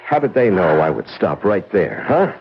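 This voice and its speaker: A man's voice: low voice, wildly swooping pitch, moderate pace, gravelly, a little hiss or hum, full character voice. An actor playing a hard-bitten male ex-crook who turns cold and accusing, in a 1970s radio drama. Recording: dull vintage radio broadcast.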